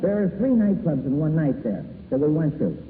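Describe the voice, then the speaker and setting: A man's voice: on the high side, very animated, a little fast. A man testifying as a witness, plain-spoken and a little defensive, on an old 1940s hearing recording. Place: large echoing hearing room. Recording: echoey, tinny, noisy 1940s hearing recording.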